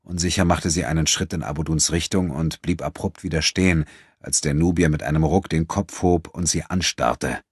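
Ein Mann, der als Hörbucherzähler einen literarischen Text dramatisch vorliest. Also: male voice, a bass voice, moderate pace, breathy edge, no echo, studio quality. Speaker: audiobook narrator. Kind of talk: dramatic scripted literary narration.

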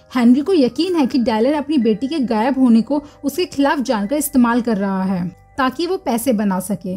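A woman narrating a film recap in a steady, scripted storytelling manner. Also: on the high side. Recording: voice-over with faint music behind.

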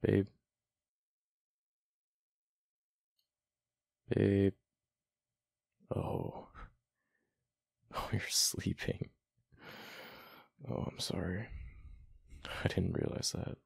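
Casting: male actor as affectionate, flustered gamer boyfriend for ASMR roleplay, very creaky low voice, dry close sound. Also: flat delivery, no echo, heavily acted. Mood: tender, affectionate. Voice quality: deep, gravelly. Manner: halting, stammering, murmured.

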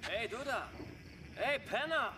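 A man's voice: very high-pitched, lively intonation, a little slow, far from the mic. An actor playing a violent, hot-headed small-town man out for revenge, aggressive and goading, in an audio drama. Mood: aggressive, taunting. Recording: loud outdoor and vehicle noise behind.

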